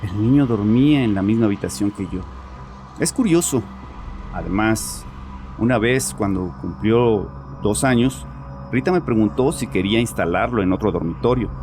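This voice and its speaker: Low-voiced haunted father confessing , acted by a man. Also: lively intonation, close to an everyday voice. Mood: bitter, defensive. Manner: agitated, defensive, haunted confession with halting pauses. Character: tormented, guilt-ridden father confessing to a psychiatrist. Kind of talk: dramatized horror audio story. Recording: music behind the voice.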